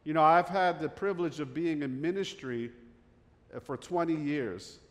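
A middle-aged pastor with a North Jersey accent, preaching a conversational sermon full of fillers and restarts. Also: male voice, on the high side, normal speed, big-room sound. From northern New Jersey.

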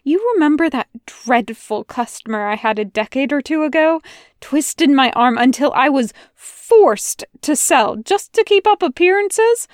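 A woman doing a fussy, excitable male angel. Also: high-pitched, a little slow, no echo, studio quality, voice pushed up. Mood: eager. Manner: chattering, eager, flustered.

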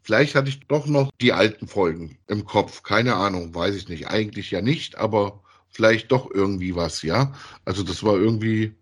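A man's voice: lowish voice, expressive, normal speed, hardly any pauses, slightly rough. A man chatting casually as a podcast host in a colloquial, rambling way, often ending phrases with tag questions. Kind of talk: casual conversational review of audio dramas.

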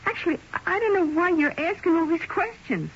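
High-voiced, frank gossip. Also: female voice, animated, brisk, fairly bright tone, full character voice. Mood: frank, gossipy. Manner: chatty, opinionated, colloquial. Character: gossipy, frank working-class woman, impatient with questions. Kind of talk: radio drama acting. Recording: muffled old radio broadcast.

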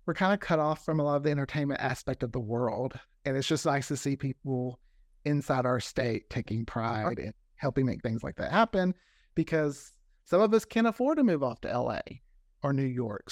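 A man's voice: medium pitch, expressive, fairly quick, dry recording, clean studio sound. An American man talking casually as an interview guest, chatty and anecdotal, often quoting conversations. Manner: chatty, anecdotal, acts out quoted dialogue. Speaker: interview guest, fiction podcast creator.

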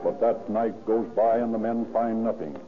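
A man's voice: fairly low pitch, steady tone, normal speed. A man narrating a scripted crime drama in 1940s radio style. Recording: tinny old radio broadcast. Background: prominent music.

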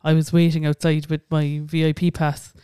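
A woman's voice: sits low, little pitch movement, moderate pace, warm tone, clear, resonant tone, dry recording. An Irish woman in her late twenties, co-hosting a casual podcast chat, chatty, with lots of "like" and swearing. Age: late 20s. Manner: chatty, swearing, says "like" a lot. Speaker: podcast co-host.

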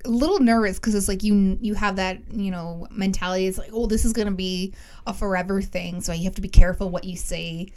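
A young woman chats as a podcast guest, rambling through stories with plenty of fillers. Her voice is middle-pitched and easygoing. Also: steady tone, a little fast, a little mellow. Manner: chatty, rambling, many fillers and laughs. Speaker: podcast guest, host's sister. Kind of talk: casual chat.

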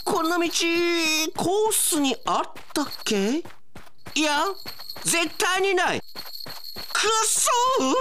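A man playing an excitable, whiny, hapless young man in a comic radio drama, with theatrical exclamations. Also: very high-pitched, slow pace, slight background noise, pitched above the performer's own voice, full character voice. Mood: panicked, whining. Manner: theatrical exclamations, sprinkles in English phrases.

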